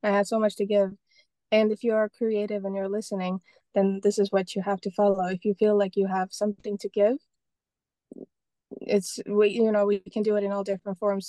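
Mellow-voiced woman from Estonia, chatty guest. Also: mid-range voice, no echo, rough recording. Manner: rambling, many restarts and fillers. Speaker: guest, painter and photographer. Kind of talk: casual interview talk.

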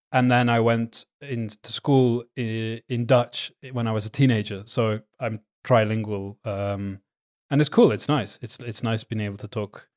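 Wyluzowany mężczyzna z Belgii, mówi po angielsku z mieszanym angielsko-belgijskim akcentem, szczerze i swobodnie. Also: male voice, medium pitch. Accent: English with a Belgian tinge. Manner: relaxed, candid, laughs easily, swears casually. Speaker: podcast guest. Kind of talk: casual interview chat.

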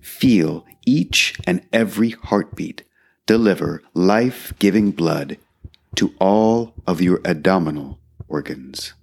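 A man guiding a meditation in a calm, measured way, leaving long pauses between phrases. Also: low voice, creaky, breathy edge. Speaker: meditation guide. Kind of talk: guided meditation narration. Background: background music.

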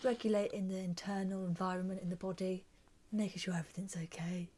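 A woman's voice: mid-range voice, little pitch movement, quick pace, a crowd in the background. A woman co-hosting an educational podcast, talking with some hesitation and reading out listener messages. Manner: hesitant with fillers, reads listener mail aloud. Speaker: podcast co-host. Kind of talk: casual educational podcast chat.

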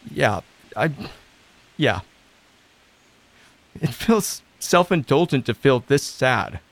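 A man acting the part of a lonely, self-doubting recovery coach in an audio drama, halting and introspective. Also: medium pitch, big pitch swings, gravelly, no echo, exaggerated voice. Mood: sad, withdrawn.

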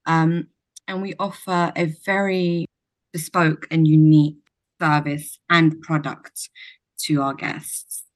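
A woman's voice: sits low, level tone, slow pace. A young adult woman from Kosovo, a hotel general manager, answering podcast interview questions in a reflective way with frequent ums.

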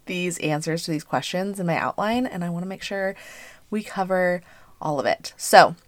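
Woman, 30s, podcast host; hoarse and a bit stuffy; lowish pitch, dry close sound, chatty and relaxed. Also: no room sound. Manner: chatty, friendly, frequent "um" and "like". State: hoarse and congested from allergies. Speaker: podcast host and business coach. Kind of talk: casual solo podcast chat.

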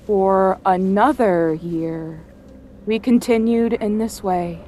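A woman playing a thoughtful sailor who narrates a doomed whaling voyage in measured, literary storytelling. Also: medium pitch, warm tone, a little hiss or hum, natural voice. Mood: grave. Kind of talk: scripted dramatic narration.

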